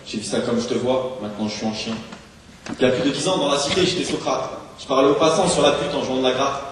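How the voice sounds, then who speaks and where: A man performs slam poetry in a big reverberant hall, heard from some distance. He delivers rhymes very quickly and rhythmically, declaiming as an embittered, washed-up homeless drinker. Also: medium pitch. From France. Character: embittered, washed-up homeless street drinker remembering his youth. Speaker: slam poet. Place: large theatre hall, live on stage. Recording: echoey hall, distant mic, faint noise.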